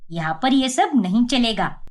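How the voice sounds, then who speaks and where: A woman voicing a bossy, conservative older mother-in-law in an animated family story, sharp-tongued and nagging. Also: a little high, measured pace. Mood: scolding, indignant.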